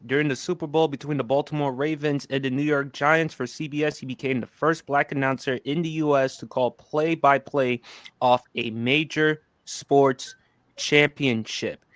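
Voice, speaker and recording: Male podcast host, mid pitch, measured and informative, close dry sound. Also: steady tone, a bit bright, no echo. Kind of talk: informative hosting of panel discussion.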